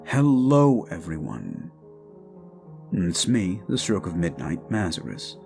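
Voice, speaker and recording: Male creator for a casual outro: very low, gravelly voice, unhurried, soft music behind. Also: wildly swooping pitch. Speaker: audio roleplay creator.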